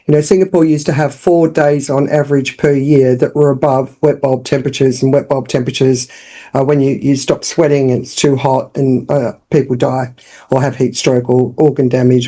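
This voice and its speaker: A woman with an Australian accent, a founder speaking as a podcast guest in a frank, lively, conversational way. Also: sits low. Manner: lively and frank, with frequent restarts and fillers. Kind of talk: casual interview talk.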